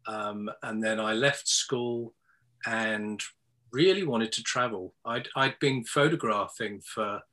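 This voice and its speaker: A man's voice: fairly low pitch, bright, forceful tone. A man in his sixties from England, a photographer chatting as a guest in an interview, hesitant with many ums and restarts, over a video call. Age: 60s. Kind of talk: casual interview chat.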